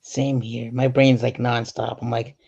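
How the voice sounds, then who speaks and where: A man's voice: mid-range voice, flat delivery, a little slow, vocal fry, a little mellow, husky. Dull-sounding man hosting a casual chat. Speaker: host, stand-up comedian. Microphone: online video call. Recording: dull lo-fi call audio.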